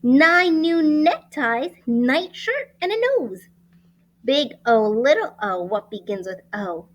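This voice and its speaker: Woman, children's read-aloud teacher. Lively swooping pitch, unhurried pace, expressive sing-song delivery, careful enunciation. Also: lo-fi sound. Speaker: teacher reading a picture book aloud to children.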